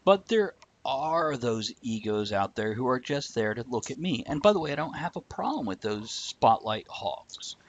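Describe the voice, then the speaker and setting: A man's voice: mid-range voice, very animated, moderate pace, hardly any pauses, no room sound. Blunt man chatting, slightly dull lo-fi audio. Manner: blunt, opinionated, swears. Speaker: host of a live talk show. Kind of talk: casual chat, answering live viewer comments.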